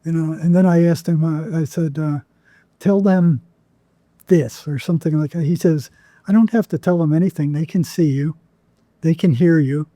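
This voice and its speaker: Man with a very dark, mellow voice. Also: fairly high pitch, no room sound. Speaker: podcast guest, psychotherapist. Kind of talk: conversational interview storytelling.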